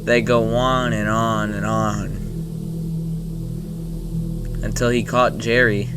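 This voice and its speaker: A man's voice: on the low side, flat delivery, slow. A man doing informal reaction commentary, reading a horror story aloud with exclamations and stumbles. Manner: informal, exclaiming, stumbling over read text. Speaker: reaction video host reading on-screen text aloud. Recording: loud music behind the voice. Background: music.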